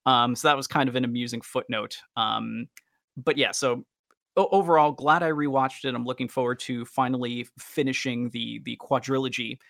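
Creaky, bright-voiced man chatting on a podcast. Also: mid-range voice, steady tone, normal speed, no echo, studio quality. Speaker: podcast host. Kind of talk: casual podcast chat.

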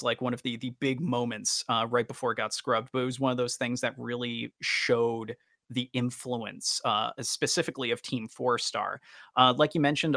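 A man talks as a podcast co-host with a forward, bright voice and some gravelly fry. His delivery is enthusiastic but halting, stammering through long, wordy thoughts. The sound is dry and close. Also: medium pitch, no echo. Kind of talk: casual podcast discussion.

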